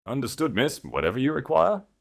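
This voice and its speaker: A man voicing a disciplined, loyal British head of security in an audiobook, crisp and deferential. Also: mid-range voice, huge pitch swings, brisk, voice pushed up, full character voice.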